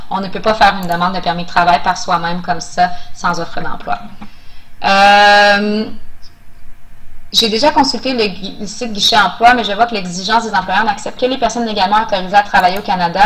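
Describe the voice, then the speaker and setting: A woman's voice: mid-range voice, a bit bright, rough recording. A woman answering questions in a webinar as an immigration officer, explaining procedures in a hesitant, informal way.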